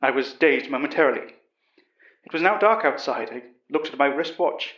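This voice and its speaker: A man playing a calm, commanding professor, giving measured, literary first-person narration of a horror story. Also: mid-range voice, natural voice. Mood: grave storytelling. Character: calm, commanding British professor recounting a monster investigation. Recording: dull, roomy recording.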